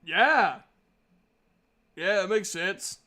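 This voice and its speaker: Man, somewhat high pitch, casual talk, tabletop roleplaying, conversational delivery. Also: animated, drawn-out words, crowd noise. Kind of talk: casual chat during an online tabletop roleplaying game.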